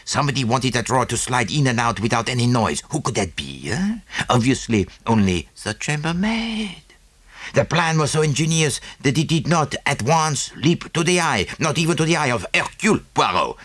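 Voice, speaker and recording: A dapper little Belgian detective with a French-speaking accent. He is pleased with himself and lectures with relish, his pitch leaping about wildly, in a close, dry audiobook sound. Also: very animated, normal speed, fairly bright tone, breathy edge, no echo. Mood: smug, teasing. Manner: didactic, punctuated with "eh?" and French phrases. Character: small fussy Belgian detective, self-satisfied, theatrical, tranquil.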